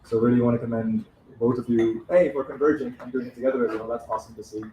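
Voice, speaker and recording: A man's voice: mid-range voice, fairly even intonation, quick pace, dull audio, slight background noise. Direct, punchy man in an echoey café. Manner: direct, punchy rhetorical questions. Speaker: audience member. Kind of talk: live panel discussion. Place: café with live audience.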